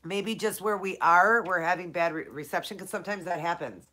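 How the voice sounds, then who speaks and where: A woman's voice: fairly low pitch, brisk, pushed and bright. A woman from Minnesota hosting a casual live Q&A, chatting with viewers by name, her nose stuffed up from allergies. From Minnesota, USA. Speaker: live-stream host.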